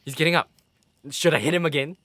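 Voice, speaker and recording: A man's voice: on the high side, steady tone, quick pace, pushed and bright, no room sound. A man playing a sardonic, insolent bandit guardsman in an audio drama, with colloquial sarcasm. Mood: smug, mocking.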